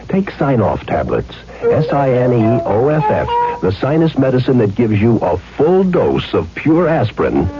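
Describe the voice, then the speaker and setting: A man's voice: fairly low pitch, lo-fi sound. A man reading a scripted 1970s radio commercial as an announcer.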